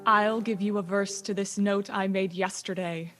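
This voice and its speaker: A woman playing a melancholy, sardonic traveling gentleman in a Shakespearean radio play, with theatrical, teasing emphasis. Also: medium pitch, fairly even intonation, normal speed, over loud music, natural voice. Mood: mocking, wry.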